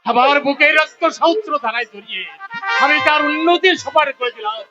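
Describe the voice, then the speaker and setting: Melodrama role: a grieving, wronged elderly father, rhetorical lament in an extremely high register over loud music. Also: animated, moderate pace. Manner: declamatory, rhetorical, sorrowful. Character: heartbroken old father, wounded, bitter, lamenting. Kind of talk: melodramatic stage drama acting. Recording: dull lo-fi drama recording, loud music.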